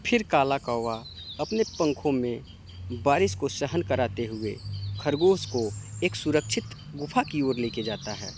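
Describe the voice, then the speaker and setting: Male narrator for a children's cartoon fable, very unhurried, mid pitch, light birdsong noise behind. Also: expressive.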